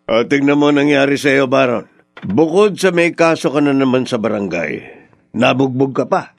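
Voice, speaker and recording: A man's voice: medium pitch, animated, normal speed, gravelly. A man with a Filipino accent playing a stern village official giving warnings in a radio drama. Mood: reproving.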